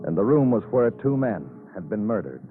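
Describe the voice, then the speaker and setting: A weary noir detective from 1950s radio crime drama. His low voice moves through wide swings of emphasis, somber and poetic, with music behind a thin, narrow old broadcast sound. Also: sing-song, moderate pace. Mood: brooding. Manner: terse, somber, dramatic phrasing. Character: weary, brooding male police detective narrating his case.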